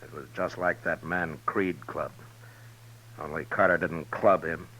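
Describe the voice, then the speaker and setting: An actor playing a stern, weary American marshal in a 1950s radio western, terse and grave, on a noisy old recording. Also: male voice, rumbling low, fairly even intonation, a little slow, bright, forceful tone, exaggerated voice. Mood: grim, weary.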